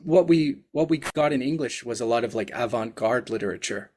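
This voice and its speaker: A man's voice: mid-range voice, brisk, a little mellow. A man, a podcast guest who reviews books, talking through ideas in a hesitant, thoughtful way with many fillers. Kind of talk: casual chat.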